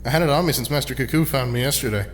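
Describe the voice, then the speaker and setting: A man's voice: medium pitch, rapid-fire, lightly acted. A man playing a bewildered young hero who questions with agitation in an audio drama, recorded with long reverb. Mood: confused, agitated. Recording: long reverberant hall sound.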